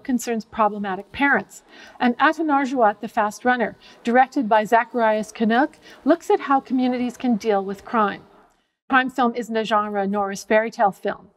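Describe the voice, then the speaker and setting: A middle-aged woman, giving scripted educational narration in a measured, precise way as a professor. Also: on the high side, poor audio. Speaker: professor presenting a web series.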